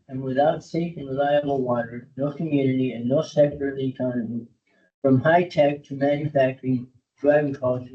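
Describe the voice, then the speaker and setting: A man from Cuba leads a public board meeting in a mellow, warm voice. He talks haltingly with many pauses and then reads out a formal proclamation. The sound is dull and lo-fi, like a meeting-room recording. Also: far from the mic. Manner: hesitant, many filler sounds. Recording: dull low-fidelity meeting audio.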